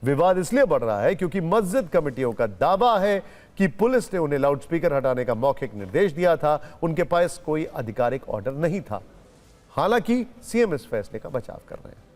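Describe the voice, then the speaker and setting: A man's voice: fairly high pitch, big pitch swings, brisk, a little mellow. A man presenting television news as an anchor, explaining points with emphasis.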